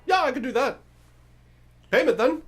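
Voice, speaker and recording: A man's voice: extremely high pitch, expressive, moderate pace, slightly rough, faint music behind, pitched above the performer's own voice, full character voice. A man voicing a giant undead skeleton oracle in a tabletop game, glib and rambling, haggling casually and hungrily. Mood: chatty.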